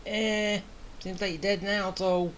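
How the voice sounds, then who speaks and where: A woman's voice: mid-range voice, fairly even intonation, takes it slowly, slight background noise. A woman streaming a video game, giving casual commentary in short, muttered reactions.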